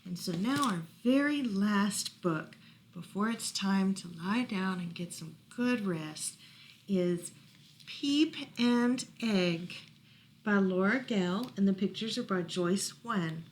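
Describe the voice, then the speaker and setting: A woman reading children's stories aloud as a library storytime host, animated and putting questions to her young listeners. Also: mid-range voice, slow pace, a little hiss or hum.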